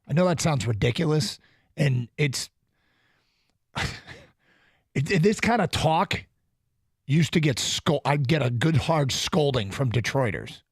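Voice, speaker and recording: Guy on sports radio, kinda high voice, dry studio sound, keeps restarting himself. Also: a little slow, pauses often, husky, no room sound. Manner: animated, restarts often, laughs. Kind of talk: casual sports talk.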